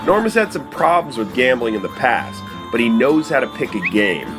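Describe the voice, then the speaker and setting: TV reporter, male, animated voice-over, music underneath. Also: medium pitch, normal speed. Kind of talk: scripted narration.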